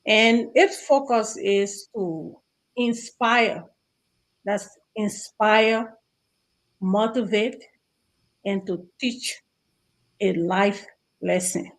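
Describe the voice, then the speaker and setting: A woman with a Liberian accent speaking as an interview guest in a measured, deliberate way with halting phrases. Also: medium pitch, low-quality recording.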